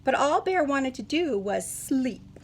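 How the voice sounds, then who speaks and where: A woman reading a picture book aloud to children in an animated, teacherly way, pausing to question and explain to her listeners. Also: sits high, background noise.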